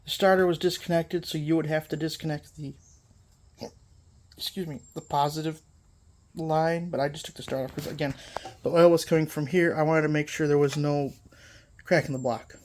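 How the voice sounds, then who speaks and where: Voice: medium pitch, steady tone, normal speed. The host of a repair channel, stuffed up from a lingering cold, casually walks through a mower engine repair in a workshop, rambling and correcting themselves as they go. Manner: rambling, self-correcting, many fillers. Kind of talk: casual hands-on repair walkthrough.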